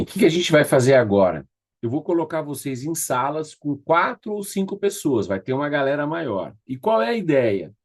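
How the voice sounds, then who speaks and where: A Brazilian man with a slightly high voice leads an online class. He gives exercise directions in an informal, conversational way. He often checks that participants are following along. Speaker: facilitator of an online leadership class. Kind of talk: informal instructional talk giving exercise directions.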